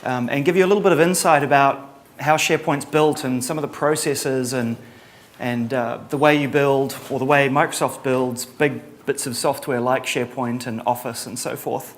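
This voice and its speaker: A middle-aged man from New Zealand with a Kiwi accent, giving a relaxed conference talk with lots of ums and a dry sense of humor. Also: medium pitch, moderate pace, hardly any pauses. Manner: casual, many ums and uhs, dry humor. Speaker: conference presenter.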